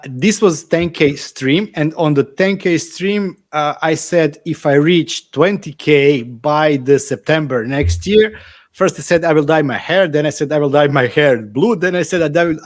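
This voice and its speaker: Higher-pitched Croatian-accented man chatting. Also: measured pace. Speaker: podcast guest. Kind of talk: casual chat.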